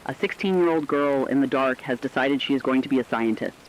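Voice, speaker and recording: A man playing the steadfast host of a last-ditch radio station, reading a solemn, measured, poetic broadcast script. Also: medium pitch, slight background noise, lightly acted, poor audio. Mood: steadfast, moved.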